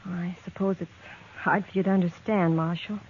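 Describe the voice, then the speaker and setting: An American actress playing an earnest, quietly determined young frontier woman in a 1950s Western radio drama. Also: fairly low pitch, fairly even intonation, moderate pace, slight background noise, natural voice. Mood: explaining, sincere. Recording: tinny, narrow-band 1950s radio recording.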